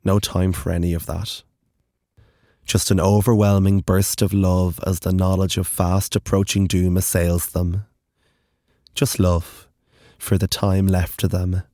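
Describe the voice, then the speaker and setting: A man narrating a scripted horror story in a grave, deliberate manner with measured dramatic phrases. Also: sits low, flat delivery, warm tone, no echo, studio quality.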